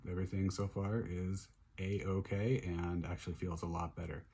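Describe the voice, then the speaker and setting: A middle-aged man with a hoarse voice talks casually at home in a video diary. His voice sits very low and sounds husky and rough. He is relaxed and upbeat, with many fillers and restarts. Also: normal speed. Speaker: vlogger recovering from surgery.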